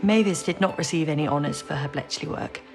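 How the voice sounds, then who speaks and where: An actress playing a measured, modest female wartime codebreaker in an audio drama. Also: low-pitched, over music. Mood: reflective.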